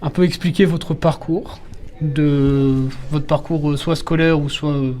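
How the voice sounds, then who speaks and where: A man's voice: on the high side, steady tone, a little slow, warm tone. A young man from Norway, speaking French as a second language, hosts a school interview with many hesitations and fillers. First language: Norwegian. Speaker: student interviewer.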